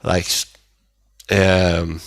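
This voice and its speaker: A man giving a casual interview as a band singer, halting as he searches for words. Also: low voice, little pitch movement, no echo, clean studio sound. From Sweden. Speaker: band vocalist, interview guest.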